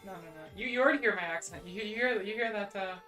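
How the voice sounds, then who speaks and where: A young adult woman with a plain Midwestern American accent chats casually into a desk mic. She rambles, piles on "like," restarts sentences and pokes fun at herself, her voice sitting at an everyday middle pitch. Faint music plays quietly underneath. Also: fast. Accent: General American (Midwestern). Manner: rambling, many "like"s and restarts, self-deprecating. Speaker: VTuber streamer. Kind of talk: casual stream chat.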